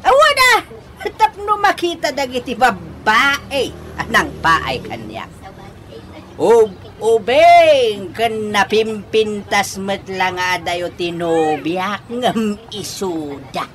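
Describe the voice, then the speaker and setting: A man's voice: high-pitched, sing-song, slow pace, noisy background, exaggerated voice. A man playing a giddy, boastful, lovestruck old father in a radio comedy drama, with exaggerated, giggly delivery.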